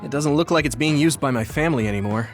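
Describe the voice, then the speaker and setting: A man voicing a sincere, gentle and polite young hero in an anime-style video game. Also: mid-range voice, fast, soft background music, close to an everyday voice. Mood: earnest.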